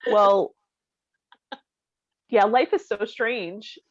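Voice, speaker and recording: A woman's voice: mid-range voice, very slow, no room sound. A woman co-hosting a podcast, chatting casually in a musing, giggly way. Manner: giggly, laughs often while talking. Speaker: podcast co-host. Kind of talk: casual chat. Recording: dull, lo-fi, dry audio.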